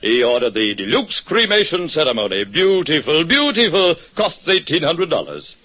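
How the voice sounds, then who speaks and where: An unctuous, money-minded undertaker in a 1940s radio mystery. He speaks formally in a fairly high, bright voice. The sound is muffled, like an old broadcast. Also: wildly swooping pitch, normal speed, no echo, heavily acted. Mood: unctuous, pleased. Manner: formal, oily, solemn salesman. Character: Thaddeus Morton, unctuous, grasping funeral director. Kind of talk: 1940s radio detective drama acting. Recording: muffled vintage radio recording.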